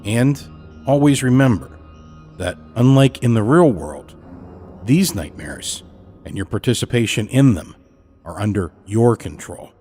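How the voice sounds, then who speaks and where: A man hosting a horror fiction podcast, reading a scripted introduction in a measured, deliberate way. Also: lowish voice, very animated, dark tone, slightly rough, soft background music. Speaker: podcast host.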